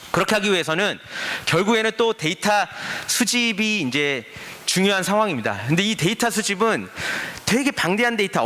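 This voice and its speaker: A man speaks at a forum, his fairly high, bright voice moving a lot in pitch. He explains step by step and poses rhetorical questions to the audience. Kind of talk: conference presentation. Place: conference stage.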